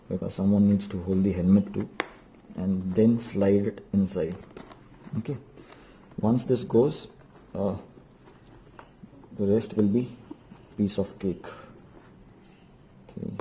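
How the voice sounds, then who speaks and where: A man's voice: fairly low pitch, steady tone, unhurried, long pauses, dark tone, a little hiss or hum. A man giving an unscripted hands-on tutorial, explaining step by step and repeating instructions for emphasis. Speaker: DIY vlogger.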